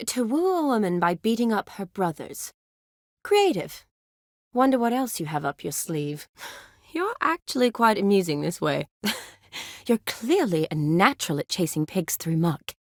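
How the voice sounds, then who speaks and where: A spirited young woman medic from a fantasy video game. She speaks in a natural, medium-pitched voice, caring but frank, with a teasing streak. The recording is dry and free of room sound. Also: sing-song, measured pace, dry recording. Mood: playful, teasing. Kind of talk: scripted game dialogue, naturalistic.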